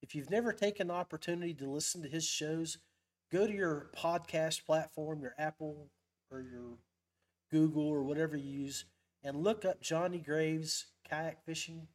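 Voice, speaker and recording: A man's voice: on the high side, moderate pace. A man hosting a casual live-stream podcast in a folksy, chummy way. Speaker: podcast host.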